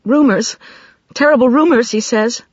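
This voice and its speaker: A woman's voice: a little high, moderate pace, darkish tone, husky, no room sound, voice pushed up. A woman reading an audiobook, voicing an anxious young woman who blurts everything out in a rush, pleading and upset. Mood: frantic. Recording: dry, slightly dull studio recording.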